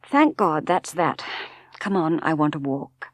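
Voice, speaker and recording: A woman voicing a curt, impatient middle-aged English husband in an audiobook, brisk and short in manner. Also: deep voice, sing-song, dry recording, full character voice. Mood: relieved.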